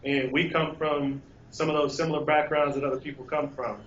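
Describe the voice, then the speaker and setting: A man's voice: fairly high pitch, little pitch movement, brisk, a bit bright, roomy sound, lo-fi sound, slight background noise. A man in his thirties, a recent law graduate, speaking earnestly and reflectively in an interview. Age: 30s. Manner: earnest, reflective, hesitant restarts. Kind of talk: casual interview.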